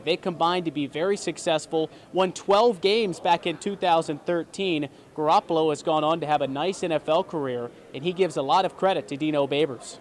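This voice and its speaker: A man in his early twenties doing sports play-by-play and reporting with a polished broadcast delivery. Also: a little high. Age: early 20s.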